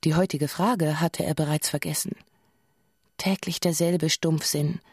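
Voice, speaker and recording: A woman's voice: deep voice, fairly even intonation, a little slow, darkish tone, breathy edge, no room sound, full character voice. A woman acting as the narrator of a radio drama, recounting absurd events evenly in scripted prose. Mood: wry, even. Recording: dry studio, faint music.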